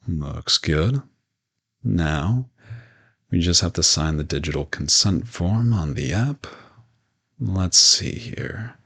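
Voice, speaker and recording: A man playing a polite, reassuring modern vampire in a roleplay audio drama, talking gently and matter-of-factly to the listener. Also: low-pitched, lively intonation, slow pace, gravelly, long pauses, husky, heavily acted. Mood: calm, reassuring.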